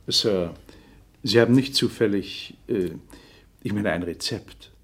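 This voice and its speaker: An actor playing a deferential, easily shocked pharmacist in a German radio drama, hesitant, formal and fussily servile. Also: male voice, lowish voice, takes it slowly, slightly rough, full character voice.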